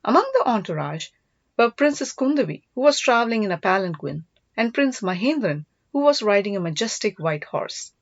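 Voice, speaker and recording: A woman narrates an audiobook with a lively, swinging intonation. She reads scripted story prose at a medium pitch. The recording is dry and somewhat muffled, with little room sound. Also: moderate pace, no room sound. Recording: dull, lo-fi, dry close recording.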